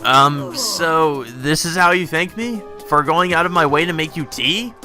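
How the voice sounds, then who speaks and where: A man's voice: on the high side, a little slow, music in the background, natural voice. A man voicing a portly, servile young nerd who talks in a fussy, hesitant stammer. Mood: flustered, indignant.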